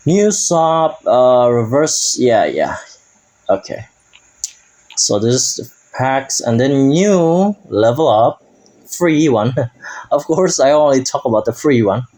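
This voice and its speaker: A man runs through a game update in a relaxed, unhurried way. His English is halting, and he stops often to search for the right word. His voice sits in a mid range. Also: mid-range voice, low-quality recording. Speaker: gaming YouTuber. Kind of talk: casual game update commentary.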